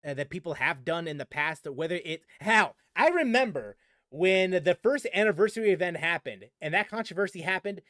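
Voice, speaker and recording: Brief: male gaming streamer, high voice, dry close sound, rambling frustrated rant. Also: lively intonation, fairly quick, sharp tone, dry recording. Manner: rambling, many restarts and fillers, frustrated. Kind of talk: casual stream commentary.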